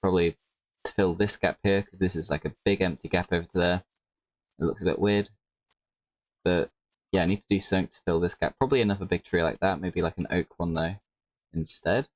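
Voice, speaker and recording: A man with a very low voice and a slight hoarseness talks through his gameplay in a relaxed, rambling way, often adding "like" and correcting himself. He sounds close to the mic in a dry room. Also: a little fast, long pauses, dry recording, poor audio. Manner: rambling, self-correcting, with frequent "like". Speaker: Minecraft creator. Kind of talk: casual gameplay commentary.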